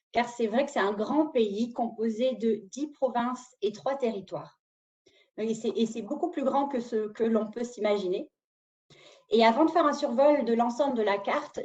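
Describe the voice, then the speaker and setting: A woman from France with a French accent, giving a clear, slightly scripted webinar presentation about immigration. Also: on the high side, darkish tone. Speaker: immigration promotion officer. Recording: dull lo-fi audio.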